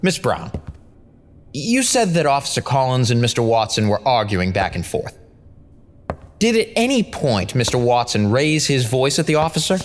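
A man's voice: medium pitch, very animated, moderate pace, lightly acted. An actor playing a methodical male defense lawyer in a courtroom drama, asking formal, insistent questions. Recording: reverberant room, faint noise.

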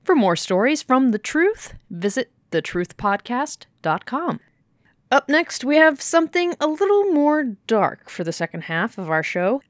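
A woman's voice: high voice, sing-song, measured pace, dry recording, studio quality. A woman hosting an audio drama show, delivering scripted introductions. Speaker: show host.

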